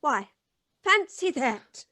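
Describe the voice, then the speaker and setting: A high-pitched, kindly housekeeper of some years. She reassures in a measured, theatrical way, in the manner of an old radio play. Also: big pitch swings, bright, forceful tone, full character voice. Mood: reassuring, wondering. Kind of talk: old-time radio drama acting. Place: home recording booth on a video call.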